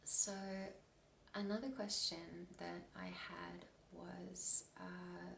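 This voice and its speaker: A woman in her twenties with an Australian accent speaks in a hushed, hesitant half-whisper, as in a soft ASMR chat. Her voice sits low with a gravelly fry. The recording is roomy and picked up from a little way off the mic. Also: flat delivery, very slow, far from the mic, a little hiss or hum. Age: 20s. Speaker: ASMR content creator.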